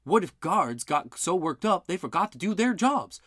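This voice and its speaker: A narrator voicing an eager, plainspoken man who talks in quick, excited bursts. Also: mid-range voice, no echo, studio quality, voice pushed up.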